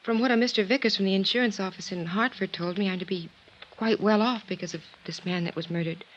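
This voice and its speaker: An actress playing a composed, quietly intense woman of twenty-one with a husky voice, in a 1950s radio drama. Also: mid-range voice, fast, close to an everyday voice. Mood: cool, guarded. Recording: dull old 1950s radio recording.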